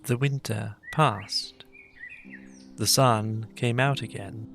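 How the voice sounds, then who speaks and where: A man's voice: lowish voice, steady tone, takes it slowly, vocal fry. A man narrating a children's tale as a scripted read-aloud. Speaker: storybook narrator. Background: soft music.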